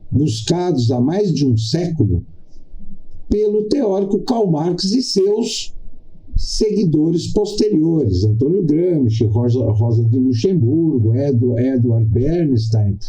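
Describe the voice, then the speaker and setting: Slow, dark-voiced Brazilian man, lecturing. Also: on the high side, lively intonation, poor audio. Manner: didactic, deliberate, emphatic with repetition and pauses. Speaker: podcast host. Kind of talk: political commentary monologue.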